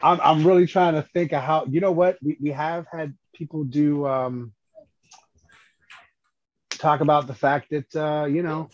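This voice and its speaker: A man's voice: a little high, fairly even intonation, unhurried, dull audio. A man co-hosting a horror podcast, chatting casually with many stammered restarts and casual swearing. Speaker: podcast co-host. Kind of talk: casual horror movie chat.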